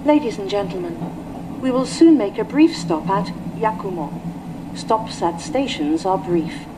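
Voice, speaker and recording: Measured female train announcer. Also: medium pitch, animated. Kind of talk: pre-recorded scripted announcement. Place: played over speakers inside moving train. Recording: noisy train carriage speaker.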